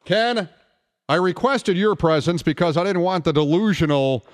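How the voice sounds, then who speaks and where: Teasing male talk radio host, higher-pitched. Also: normal speed. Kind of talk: casual sports talk radio banter.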